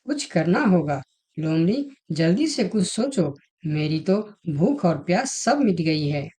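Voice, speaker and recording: A persuasive female animal from a cartoon fable acted by a woman. Her voice is slightly low and mellow. She takes her words very slowly. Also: big pitch swings, husky, full character voice. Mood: scheming. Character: female talking animals, fox and elephant, in a fable. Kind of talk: Hindi animated moral story dialogue.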